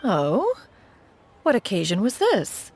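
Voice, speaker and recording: A woman's voice: medium pitch, huge pitch swings, slow pace, a little mellow, a little hiss or hum, heavily acted. A woman playing a proud, lofty ancient immortal master in a video game, speaking with haughty, archaic formality. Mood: indignant. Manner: haughty, archaic formal diction, calls self "one".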